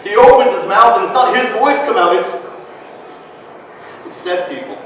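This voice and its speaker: An actor playing a frightened, angry boy in a stage monologue, moving from haunted murmurs to frantic shouting and swearing. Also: sits high, animated, moderate pace, a little hiss or hum. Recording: echoey hall from far off.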